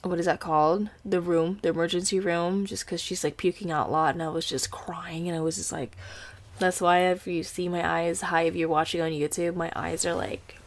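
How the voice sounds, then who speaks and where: A woman's voice: on the low side, flat delivery, normal speed. A young woman, hosting a podcast in casual, rambling chat with many fillers and restarts. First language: Indonesian. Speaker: podcast host.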